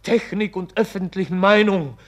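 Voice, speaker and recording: A solemn, higher-voiced weary chronicler. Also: male voice, moderate pace, fairly bright tone. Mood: bitter irony. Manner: solemn, oratorical, exasperated. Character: weary, resigned author-chronicler defending himself against his conscience. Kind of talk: radio play acting, narration turning to argument.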